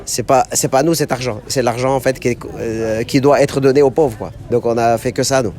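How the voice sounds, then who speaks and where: A man born and raised in France, a charity member speaking hesitantly with many pauses and fillers in an on-site interview. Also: mid-range voice, fairly even intonation, a little mellow. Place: outdoors at a Quranic school.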